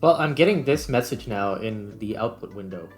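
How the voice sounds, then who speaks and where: A man's voice: on the low side, animated, moderate pace, faint music behind. A man hosting a tutorial vlog, talking casually and informally as he works through steps. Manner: informal, conversational. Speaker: video host.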